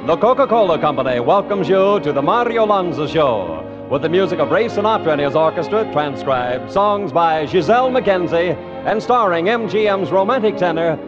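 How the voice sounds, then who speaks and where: A man's voice: sits high, expressive, moderate pace, music in the background. A man announcing a 1950s radio show with a formal, grand showbiz delivery. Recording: muffled old radio broadcast recording.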